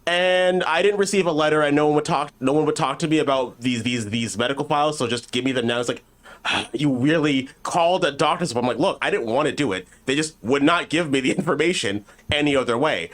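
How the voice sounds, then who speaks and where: A man's voice: on the high side, fast, fairly bright tone. A man co-hosting a podcast, telling a casual, profane, rambling story and often acting out other people's lines in mock dialogue. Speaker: podcast co-host.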